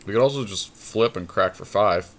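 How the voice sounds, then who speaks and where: A man co-hosting casual gameplay commentary on a card game, thinking out loud with jokey banter. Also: on the low side, normal speed. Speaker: podcast co-host playing an online card game.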